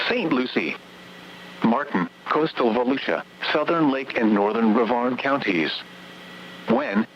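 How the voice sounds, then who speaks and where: Robotic guy reading weather alerts on the radio, dull muffled sound w/ some hiss, mid pitch. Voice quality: synthetic text-to-speech voice. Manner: formal, measured, segmented reading. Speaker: automated weather radio announcer. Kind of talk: scripted weather warning bulletin.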